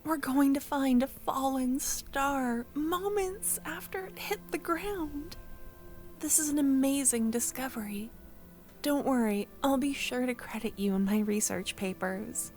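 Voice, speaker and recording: Shy, smitten childhood friend played by a woman: young, high, breathy, slow-paced, excitable but tender, intimate ASMR roleplay delivery. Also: faint music behind. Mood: excited, urgent. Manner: soft, breathy, often dropping to a whisper. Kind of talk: soft-spoken ASMR audio roleplay.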